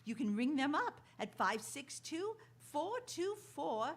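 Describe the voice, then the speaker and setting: A woman acts out a helpful London housekeeper in a radio play. She is chatty and politely deferential, with a fairly high voice that rises and dips freely. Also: big pitch swings, a little slow, exaggerated voice. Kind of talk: theatrical radio drama acting.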